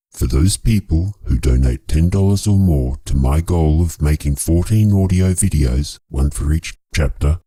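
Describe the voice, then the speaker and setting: Man, extremely low gravelly voice, dark and mellow, formal storyteller addressing viewers, drily playful. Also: no room sound, studio quality. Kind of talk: scripted closing appeal to viewers.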